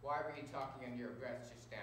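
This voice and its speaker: A man's voice: mid-range voice, fairly even intonation, fast talker, a bit bright, close to an everyday voice. An actor playing a blind, suspicious old grandfather in a stage drama, anxious and pleading. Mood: insistent. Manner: pleading, insistent, swinging from murmurs to anguished cries. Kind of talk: stage-style drama acting. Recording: echoey hall from far off, noisy.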